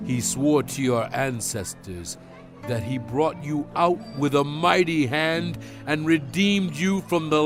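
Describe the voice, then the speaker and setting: Music plays beneath a commanding male prophet in a dramatized scripture recording. His voice sits a little high for a man and moves slowly and deliberately. He is solemn, stern and fervent, stressing his phrases like an orator addressing his people. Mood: solemn, tender. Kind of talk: dramatized audio Bible oration.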